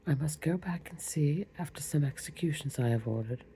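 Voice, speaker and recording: Woman voicing a commanding queen, whispered, low pitch, faint hiss. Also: expressive, brisk, mellow. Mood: imperious. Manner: whispered, with a clipped English accent put on.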